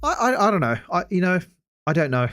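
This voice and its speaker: A man hosting a casual solo gaming podcast, hoarse after hours of talking and rambling with many hesitant restarts. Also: high voice, big pitch swings, brisk, a bit bright. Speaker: podcast host.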